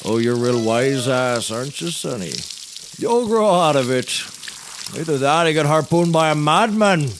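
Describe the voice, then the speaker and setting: A man's voice: a little high, lively intonation, normal speed, background noise. A man playing a pompous, folksy, bumbling defence lawyer with theatrical, rambling courtroom patter in a scripted comedy. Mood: indignant.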